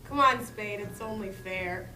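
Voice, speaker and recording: A woman's voice: medium pitch, a little slow, pushed and bright. A woman performing dialogue in a live comedy script reading. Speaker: table read performer. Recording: echoey distant mic, music behind.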